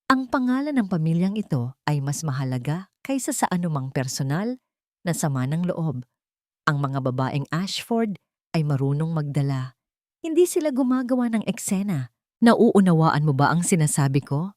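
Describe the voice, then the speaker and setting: A woman narrator puts on a stern society matriarch for an audio drama. She is cold and measured, every sentence a warning. The voice sits fairly low and sounds close and dry. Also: sing-song, a little mellow, dry recording. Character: Eleanor, stern controlling matriarch of a rich family.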